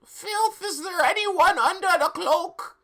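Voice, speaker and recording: A performer voicing a hysterical male school caretaker who yells in shrill, stretched-out words. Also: slow, exaggerated voice. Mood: shrill demanding.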